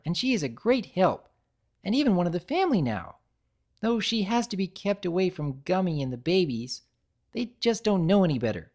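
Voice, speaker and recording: A woman voicing an excitable, tender-hearted young female cartoon pony baker, effusive, earnest and girlish. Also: lowish voice, pitched above the performer's own voice.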